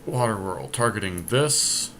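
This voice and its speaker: A man with a fairly low, forceful voice talks casually through a card game as he plays. He works out each move aloud for his teammate, step by step. The amateur capture has some noise behind him. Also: lively intonation, measured pace. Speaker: podcast host playing an online card game. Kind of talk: casual gameplay commentary with a teammate. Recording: clear background noise, amateur screen capture.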